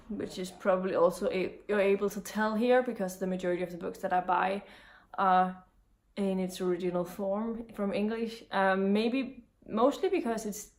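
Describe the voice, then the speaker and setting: A young woman from Denmark with a Danish accent, talking casually about books in English, with frequent ums and restarts. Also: mid-range voice. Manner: chatty, many ums and restarts. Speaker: book vlogger. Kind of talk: casual vlog chat.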